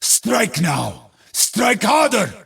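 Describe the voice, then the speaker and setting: A man voicing a grim, resolute warrior hero in a video game, raspy and gravelly, making weighty, defiant pronouncements. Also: high-pitched, huge pitch swings, very slow, a bit bright, full character voice. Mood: fierce battle cry.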